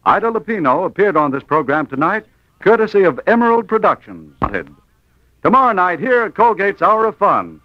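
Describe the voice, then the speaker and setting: A man's voice: high-pitched, sing-song, normal speed, no room sound. An American man reading formal network announcements in 1940s radio style. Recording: muffled vintage radio recording.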